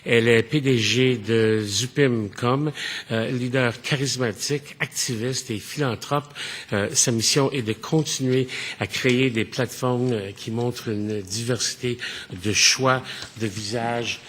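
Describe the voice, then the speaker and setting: A man's voice: medium pitch, steady tone, fairly bright tone. A man moderating a conference panel, introducing guests in French and English with frequent hesitations. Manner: halting, many fillers, switches between French and English. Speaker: panel moderator and radio host. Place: conference hall. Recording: reverberant hall.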